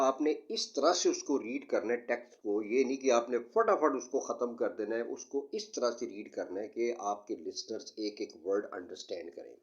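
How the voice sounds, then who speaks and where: A man giving an explanatory lesson as an instructor, deliberate, emphatic and repetitive in his delivery. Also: medium pitch. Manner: didactic, deliberate, emphatic and repetitive, slowing down for English sentences. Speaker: English test instructor. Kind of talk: explanatory lesson mixing Urdu and English. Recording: dull, muffled lo-fi audio.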